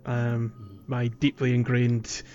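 A man's voice: mid-range voice, completely flat delivery, slow pace, darkish tone, clear, resonant tone, dull audio, soft background music. A man hosting a casual gaming podcast, talking in a hesitant, self-deprecating way. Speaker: podcast host.

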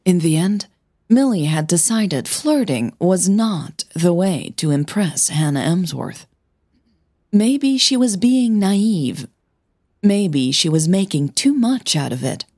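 Female fiction podcast narrator, slow and deliberate, slightly breathy, husky delivery over music. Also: lowish voice, big pitch swings, mellow, no echo, clean studio sound. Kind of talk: scripted audio fiction narration.

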